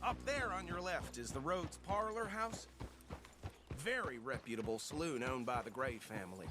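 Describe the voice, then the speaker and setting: A man's voice: a little high, expressive, normal speed, music in the background, natural voice. A man playing a genial, eager small-town guide in a video game. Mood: folksy, welcoming. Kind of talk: video game cutscene acting.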